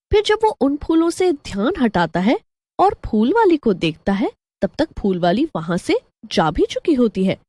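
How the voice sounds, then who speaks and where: A woman's voice: mid-range voice, a little mellow, no room sound. A woman narrating a scripted story as the storyteller.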